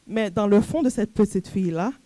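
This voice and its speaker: A woman's voice: mid-range voice, a little fast, warm tone, no echo. A woman from Montreal with a Quebec accent, speaking as a coach in an informal motivational talk, conversational and full of rhetorical questions. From Montreal, Canada. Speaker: personal image coach and speaker.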